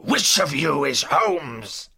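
Furious old doctor villain, audio drama. Very husky, piercingly forceful, blustering and threatening. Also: male voice, fairly high pitch, big pitch swings, takes it slowly, heavily acted. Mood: menacing. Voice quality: rasping, snarling. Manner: bellowing, threatening.

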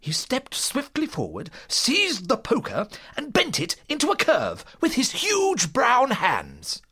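Earnest narrator-companion, played by a man in detective audio drama. Expressive storytelling, sharp tone, dramatic swoops. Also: very high voice, slow, husky, full character voice. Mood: vivid, suspenseful.

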